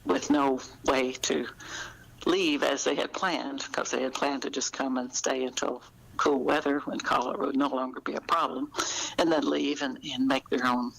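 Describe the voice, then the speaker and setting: Older female author, noticeable vocal fry, thoughtful conversational delivery with pauses. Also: a bass voice, steady tone, poor audio. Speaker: author being interviewed. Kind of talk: conversational interview.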